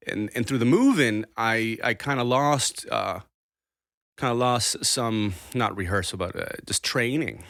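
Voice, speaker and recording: A man's voice: lowish voice, gravelly, fairly bright tone, no room sound, clean studio sound. Laid-back man rambling in casual chat. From Argentina. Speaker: podcast guest. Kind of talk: casual conversation.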